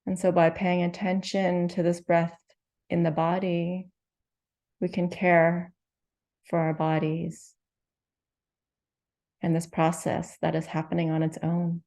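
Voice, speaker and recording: A woman leading a guided meditation, speaking slowly and gently with soothing instructions and long pauses. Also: on the low side, completely flat delivery. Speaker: meditation teacher.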